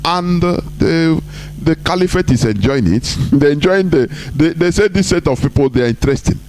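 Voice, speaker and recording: A man hosting a live online broadcast, talking emphatically, rising high to stress points and dropping low for asides. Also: animated, normal speed, darkish tone, rough recording.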